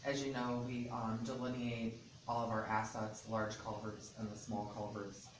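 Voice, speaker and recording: A man from the state transportation office walking county officials through a transfer agreement section by section, in a loud, methodical voice. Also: on the low side, completely flat delivery, normal speed. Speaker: state transportation department representative. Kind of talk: explaining an agreement at a public meeting. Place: county meeting room. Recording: echoey hall, distant mic, background noise.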